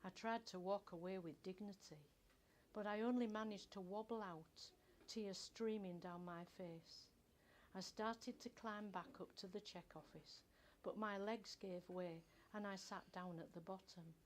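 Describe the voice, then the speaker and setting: An older woman reads a first-person story from a script in a measured, expressive storytelling manner. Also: medium pitch, slight background noise. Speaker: author reading own short story.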